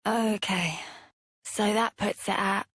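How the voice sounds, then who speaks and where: A woman playing a composed, gentle young heroine in a video game. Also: mid-range voice, steady tone, slow pace, husky, no room sound, full character voice. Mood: composed, somber. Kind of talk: dramatic video game voice acting. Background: music.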